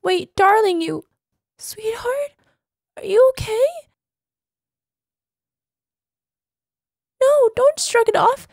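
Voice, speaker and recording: Woman doing obsessive yandere gf for asmr roleplay, really high voice, dry close mic, sweet but unhinged and dramatic. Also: expressive, unhurried, no echo, full character voice. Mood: panicked worry. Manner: dramatic, drops into breathy whispers, cloying pet names. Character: obsessive yandere girlfriend, sweetly menacing, unstable, easily panicked.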